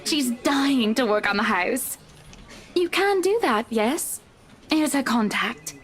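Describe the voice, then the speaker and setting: Rich bubbly wife in a video game, high sweet voice, super gracious and gushy. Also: female voice, expressive, slow, soft background music. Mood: breezy, charming. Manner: gushing, polished, affectedly sweet. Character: wealthy, bubbly, gracious married woman, an eager house buyer.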